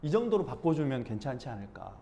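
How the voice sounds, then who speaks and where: A man from Busan giving an informal class talk as a speech and expression instructor, animated and slipping into acted-out dialect examples. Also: medium pitch, fast talker, vocal fry. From Busan, South Korea.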